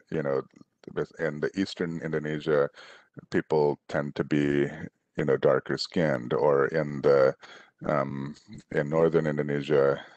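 Middle-aged man, extremely low voice, dry close headset sound, thoughtful halting delivery, guest author chatting on a video call. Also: a little mellow, dry recording, highs cut off. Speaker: guest author answering questions. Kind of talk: casual question-and-answer talk. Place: small room at home, indoors, on a video call.

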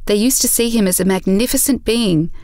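A woman reads scripted narration for an audio drama. She tells the story in a measured way at a middle pitch, with a dry, studio-close sound. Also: a little mellow, no echo.

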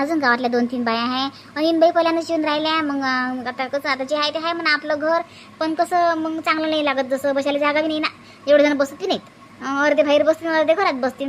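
A woman plays a poor, pleading elder sister-in-law in a cartoon comedy. Her manner is meek, hesitant and plaintive, with a Varhadi Marathi accent. She talks quickly over faint background noise. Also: high voice, a bit bright.

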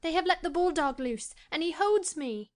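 A man's voice: extremely high pitch, lively intonation, normal speed, pitched above the performer's own voice. Man doing an urgent young girl. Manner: breathless, urgent whisper.

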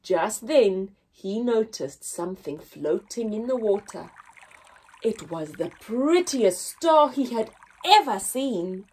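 A young woman reading a picture story aloud for small children, expressively, with deliberate pauses and emphasis and a slightly husky voice. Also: medium pitch, lively intonation, darkish tone. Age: young adult. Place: indoors, small room with painted backdrop.

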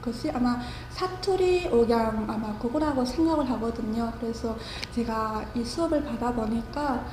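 A woman's voice: fairly high pitch, moderate pace, few pauses, roomy sound, background noise. North Korean woman, earnest student. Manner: earnest, repeats after the teacher. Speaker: speech student. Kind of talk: interview and pronunciation drills.

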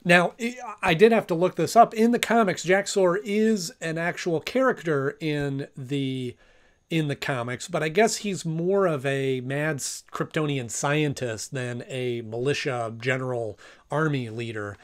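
A man's voice: on the high side, measured pace. A man in his thirties giving a casual review to camera as host of a video. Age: 30s. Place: small home room, indoors.

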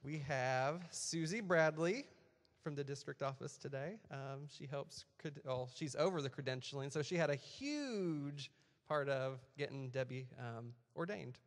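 A man prays and then gives casual, hesitant announcements from a church stage. He has a middle-pitched voice with frequent fillers. A long reverb hangs in the sanctuary. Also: a little slow, few pauses. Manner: casual, hesitant, many "um"s and "just"s. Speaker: worship leader making announcements. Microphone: handheld mic.

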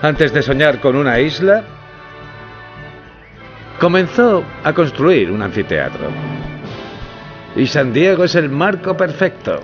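Smug company exec doing a sales pitch, highish lively voice, faint music. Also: male voice, slow, pauses often, dull audio. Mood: smug, persuasive. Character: smug corporate executive pitching a business plan.

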